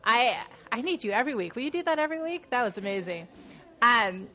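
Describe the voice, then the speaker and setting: A woman's voice: a little high, big pitch swings, fairly quick, bright, forceful tone. A woman in her thirties leads a women's Bible study, her voice audibly nasal from a sinus infection. She talks in a loose, chatty way, laughing easily and restarting her sentences as she shares with the group. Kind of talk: casual talk to a women's group. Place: church meeting room.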